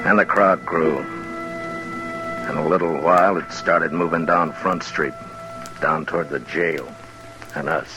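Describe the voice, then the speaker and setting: A world-weary, commanding western lawman in an old radio drama. The voice is low and grave, with terse, dry delivery. Music is heard in the vintage broadcast. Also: steady tone, unhurried, pauses often, rough recording. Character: stoic, commanding, world-weary male US marshal. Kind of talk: 1950s radio western acting and first-person narration.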